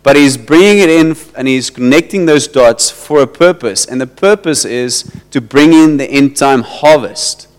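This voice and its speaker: A South African man leads his congregation with a loose, stop-start sermon, repeating himself as he finds his words. His voice is smooth and resonant. It carries a long reverb from the church hall around him. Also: mid-range voice. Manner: halting, repeats words, switches into Afrikaans. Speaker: pastor.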